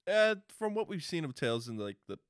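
A man's voice: a little high, big pitch swings, a little fast, no echo. Man chatting casually, dry close sound. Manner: informal, profane. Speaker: podcast co-host. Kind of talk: casual opinionated movie discussion.

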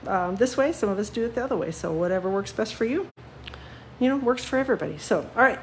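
A woman's voice: mid-range voice, animated, fast talker, a little hiss or hum, poor audio. A woman demonstrating card-making live, chatty and self-deprecating with lots of ums, a little sniffly from a cold. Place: home craft desk, seen from above.

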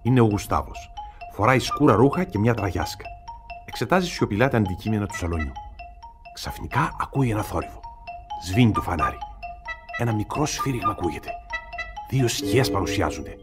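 A man narrates a radio play from a script. His voice is low, with lively intonation, and music plays clearly behind him. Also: long pauses.

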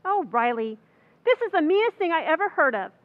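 A woman's voice: extremely high pitch, big pitch swings, fairly quick. A woman playing a sensible, scolding wife in a radio play. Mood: exasperated. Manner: scolding, dry. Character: sensible exasperated wife, sharp-tongued. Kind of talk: old-time radio drama acting. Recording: dull lo-fi recording.

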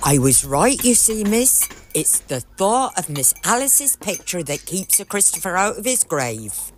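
An actress playing an older, superstitious country housekeeper in an audio drama, homely and deferential, with an English accent. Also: female voice, medium pitch, very animated, unhurried, slight background noise. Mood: knowing.

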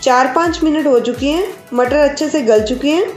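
A woman hosting a cooking channel, calmly explaining each step of a recipe to her viewers. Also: sits high, normal speed, echoey room, soft background music. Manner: calm, explanatory, addresses viewers directly. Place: home kitchen.

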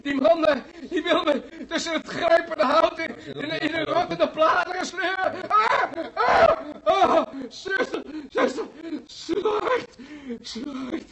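An actor playing a morbidly superstitious hospital patient, frantic and accusatory, in a Dutch radio play. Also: male voice, very high-pitched, slow, few pauses, crowd noise, exaggerated voice, low-quality recording. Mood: hysterical terror. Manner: frantic, accusatory, prone to wailing.

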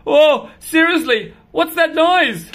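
A grumpy, dramatic house cat from a picture book, as a woman reader puts it on. The pitch jumps high and swoops widely. Words are drawn out and exclaimed with great self-importance. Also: expressive, slow pace, exaggerated voice. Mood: weary, irritated.

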